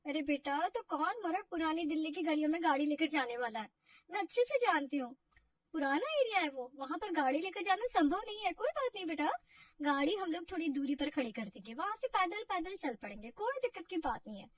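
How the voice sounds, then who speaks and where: A woman's voice: high-pitched, animated, a little fast, no room sound, far from the mic, muffled recording. A woman voicing a kindly, easygoing middle-aged aunt in a family drama story, chatty and reassuring.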